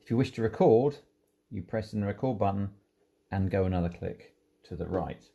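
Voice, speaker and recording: Male presenter for an informal equipment walkthrough: creaky, soft-edged tone, unhurried step-by-step delivery. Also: fairly low pitch, dull audio. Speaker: presenter demonstrating audio equipment. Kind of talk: informal hands-on product walkthrough.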